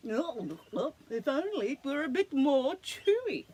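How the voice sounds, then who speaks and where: A woman's voice: high voice, very animated, a little slow, a little mellow, pitched above the performer's own voice. A woman doing a fluttery, fussy emu. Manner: fluttery, airy, affected.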